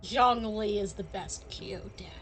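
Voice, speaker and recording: A woman's voice: mid-range voice, a little slow, slight background noise. A woman game streamer giving casual live commentary.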